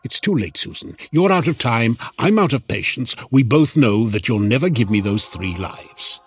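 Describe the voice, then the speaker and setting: A man's voice: mid-range voice, big pitch swings, normal speed, slightly rough. An actor playing a devilish, smug tempter who stops time and toys with his victims in a sardonic, menacing radio drama style. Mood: cold, smug.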